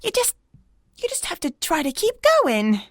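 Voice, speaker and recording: Casting: woman to play firm, protective pony mechanic friend, informal audio drama delivery, high and very animated. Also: normal speed, no echo, studio quality. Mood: worried, soothing. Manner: coaxing, earnest, informal. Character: female pony maintenance worker, caring, firm, foul-mouthed friend.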